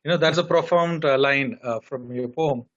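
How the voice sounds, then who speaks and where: A man hosting a poetry festival interview over a video call, asking long, halting questions full of restarts. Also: mid-range voice, fairly bright tone, dull audio. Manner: hesitant, many fillers and restarts.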